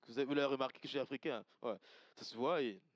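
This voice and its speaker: A man's voice: medium pitch, very fast, dry recording, highs cut off. A man from Côte d'Ivoire, preaching an earnest, emphatic sermon to his congregation and repeating key lines. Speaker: pastor. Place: church service.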